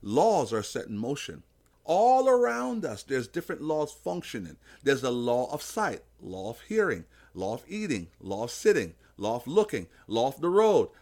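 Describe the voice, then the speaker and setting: Male motivational podcast host, mid-range voice, lively intonation, emphatic preacher delivery. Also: medium pitch, moderate pace. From Jamaica. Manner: emphatic, preacher-like, illustrates with simple analogies. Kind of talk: motivational faith talk.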